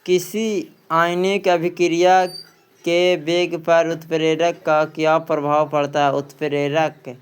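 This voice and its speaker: Slow-paced, clear-voiced man teaching. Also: on the high side, level tone. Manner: repeats phrases for emphasis. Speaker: exam coaching teacher. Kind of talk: reading out practice questions and answers.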